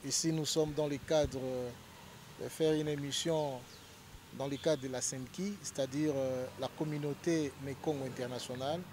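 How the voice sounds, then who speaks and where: A man's voice: mid-range voice, noisy background. A man from Congo, a lawyer, explaining his association in an interview, hesitant with many fillers and growing more emphatic.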